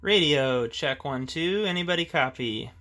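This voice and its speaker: A man thinking aloud in a relaxed way as he tests his CB radio in a garage. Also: fairly high pitch, measured pace, very noisy. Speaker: radio hobbyist demonstrating his CB radio. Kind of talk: casual hobby video narration. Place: home garage.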